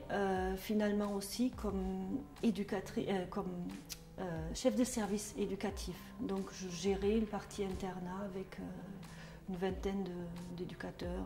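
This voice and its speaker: A middle-aged woman with a German accent talks in a hesitant, searching way, full of pauses and restarts. Her voice is soft and mellow. The room is echoey, with faint music underneath. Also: mid-range voice. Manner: hesitant, many fillers and restarts. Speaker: trainer at a social work school. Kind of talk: testimonial interview.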